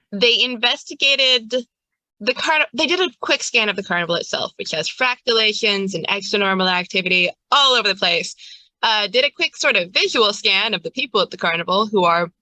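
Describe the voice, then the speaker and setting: A woman's voice: mid-range voice, animated, normal speed, pushed and bright, no echo, low-quality recording. A woman game master giving a casual, playful and wryly hinting recap of a tabletop game on a livestream.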